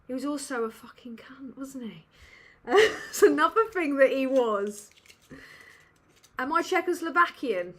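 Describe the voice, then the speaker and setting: A woman's voice: sits high, lively intonation, unhurried. A woman with a British English accent hosting a casual livestream Q&A from a dim room at home, rambling and sweary with lots of ums. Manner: rambling and sweary, with many fillers. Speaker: livestream host.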